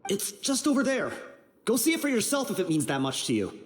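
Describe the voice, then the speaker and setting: An actor playing a disgruntled, bitter desert brigand in a video game, dismissive and excitable. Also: male voice, sits high, fairly quick, slight background noise. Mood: scornful. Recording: faint game music behind the voice.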